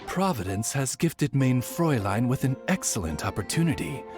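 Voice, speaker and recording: An actor playing a refined, formal raven retainer to a princess in an anime game, with butler-like diction. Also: male voice, medium pitch, lively intonation, normal speed, natural voice. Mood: formal pride. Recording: music behind the voice.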